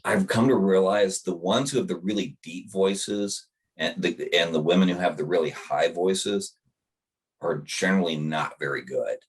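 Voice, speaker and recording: A man with a very deep bass voice, chatting casually as a podcast guest and often restarting his thoughts. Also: moderate pace, breathy edge. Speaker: guest author.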